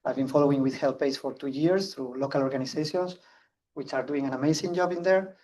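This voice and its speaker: A man from Spain with a strong Spanish accent, giving an earnest, fast-paced conference talk with frequent restarts. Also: mid-range voice, darkish tone, distant mic, rough recording. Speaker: conference speaker. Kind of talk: public talk.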